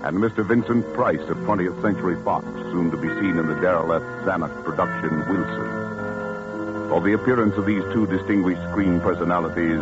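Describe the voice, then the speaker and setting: A man announcing a radio programme in a formal, dramatic, scripted 1940s style. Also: low voice. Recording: dull 1940s radio broadcast over loud music.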